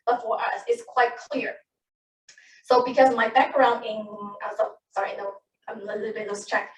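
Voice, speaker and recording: A woman's voice: on the high side, steady tone, normal speed. A woman in her thirties, an English speaker, telling the story of how she became a medical interpreter at a panel, with many restarts and self-corrections. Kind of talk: personal account at a panel. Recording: echoey room, distant mic, faint noise.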